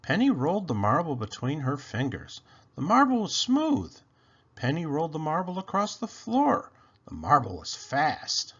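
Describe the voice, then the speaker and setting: A man reading a children's story aloud in an expressive, animated, deliberate way. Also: a little high, husky, muffled recording. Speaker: storybook read-aloud host.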